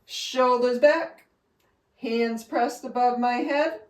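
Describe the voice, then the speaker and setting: Female read-along teacher: higher-pitched, expressive, instructive storybook delivery, recorded a little far from the mic with room echo. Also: takes it slowly. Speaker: teacher reading aloud to children. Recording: echoey room, distant from mic.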